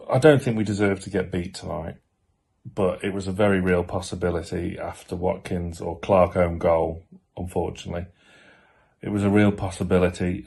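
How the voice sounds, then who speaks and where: Man, husky, monotone, blunt football pundit. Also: low voice. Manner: blunt, critical, repeats points for emphasis. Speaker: fan channel pundit. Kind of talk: post-match football opinion.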